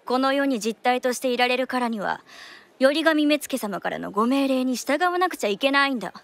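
An actress playing a worldly, caring big-sister courtesan in a period radio drama, teasing and bossy, with familiar old-fashioned speech. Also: female voice, high-pitched, expressive, fairly quick, fairly bright tone. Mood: scolding.

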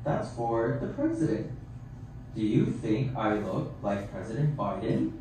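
A man voicing a patient, measured, admonishing Jesus in an amateur puppet show. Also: fairly low pitch, very animated. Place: theater, camera far from puppet stage. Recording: distant echoey theater recording, clear noise.

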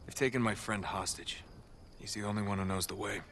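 An actor playing a determined adventurer, speaking in a casual, somewhat hesitant and wry way. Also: male voice, fairly low pitch, steady tone, brisk, a bit bright, slight background noise, close to an everyday voice. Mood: earnest. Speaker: video game protagonist. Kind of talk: video game cutscene acting. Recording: game audio with faint music.

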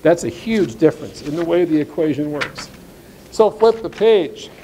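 Blunt, high-voiced man presenting to ranchers. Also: lively intonation, moderate pace, warm tone, slight background noise, low-quality recording. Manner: blunt, sarcastic, emphatic; reads legal text aloud, then comments. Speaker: speaker at an agricultural seminar.

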